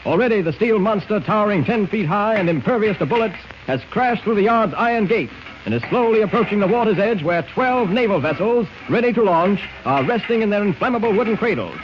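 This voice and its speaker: High-pitched dramatic male radio narrator, noisy recording. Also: lively intonation, fairly quick, lo-fi sound. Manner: dramatic, declamatory. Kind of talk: 1940s radio serial narration, scripted.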